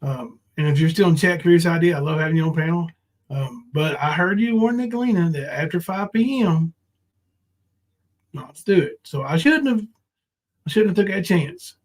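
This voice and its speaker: High-voiced man hosting a casual livestream. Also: expressive, moderate pace. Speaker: live panel stream host.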